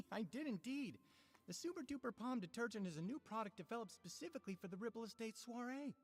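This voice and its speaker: Woman voicing an earnest proud video game npc, lively up and down delivery, explains everything emphatically. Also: on the high side, fairly quick, natural voice.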